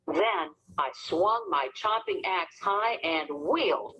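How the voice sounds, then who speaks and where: Woman reading a story aloud, lower-pitched voice. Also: lively intonation, takes it slowly, bright, forceful tone, breathy edge, poor audio. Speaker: reader of a children's story. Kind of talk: read-aloud storybook narration.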